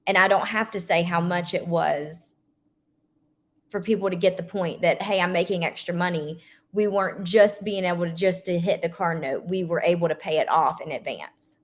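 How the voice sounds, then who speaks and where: A woman with a fairly low voice speaks as a guest on an interview podcast. She tells her story in a friendly, matter-of-fact way in a casual chat. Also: moderate pace.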